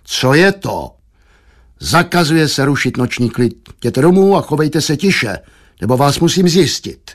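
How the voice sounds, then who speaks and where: A man's voice: medium pitch, big pitch swings, slightly rough. A man voicing a pompous, officious town administrator in an audiobook, blustering and grumbling.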